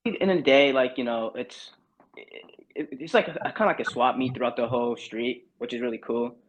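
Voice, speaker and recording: A man's voice: mid-range voice, moderate pace, slight background noise, poor audio. A young man from Barbados, a podcast guest, chatting casually and loosely with lots of fillers and restarts. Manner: relaxed, rambling with many fillers. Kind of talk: casual chat.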